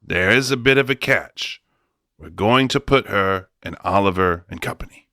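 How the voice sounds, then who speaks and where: A man's voice: on the low side, moderate pace, pushed and bright, slight background noise. A man playing a flustered studio boss in a comic radio drama, stammering and placating as he wheels and deals. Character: smooth-talking, flustered male studio boss wheeling and dealing.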